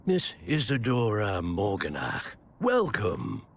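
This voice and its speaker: A man voicing a composed, reassuring wizard professor with a measured, formal manner in a video game cutscene. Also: lowish voice, wildly swooping pitch, a little hiss or hum, heavily acted. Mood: welcoming.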